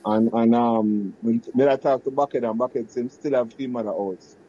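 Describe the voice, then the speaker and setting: A man in his fifties from Jamaica with a Jamaican accent, recalling his childhood in a casual, halting way full of restarts. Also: mid-range voice, steady tone, mellow, husky, dull audio. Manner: patois-laced, halting, many restarts and fillers. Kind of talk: casual reminiscing chat.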